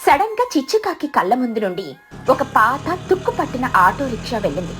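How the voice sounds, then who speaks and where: Woman narrator, slightly high voice. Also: sing-song, fairly quick, fairly bright tone, soft background music. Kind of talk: scripted children's story narration.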